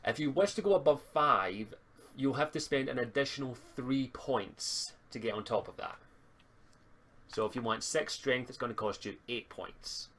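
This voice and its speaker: A man's voice: mid-range voice, normal speed, bright, forceful tone. A man acting as game master, explaining roleplaying rules to players on an online voice call. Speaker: game master and stream host. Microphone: voice call on a live stream.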